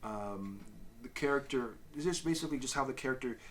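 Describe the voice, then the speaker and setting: A man's voice: mid-range voice, brisk, fairly bright tone, slight background noise. A man co-hosting a casual review chat, explaining things in a loosely worded way with asides. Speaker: co-host of a comic review show.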